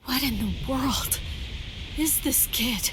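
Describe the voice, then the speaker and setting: Slow, solemn female warrior. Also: high voice, background noise. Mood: astonished. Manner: formal, measured, stately phrasing. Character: solemn, composed female Soul Reaper warrior, proud and grave. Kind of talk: dramatic English video game voice acting.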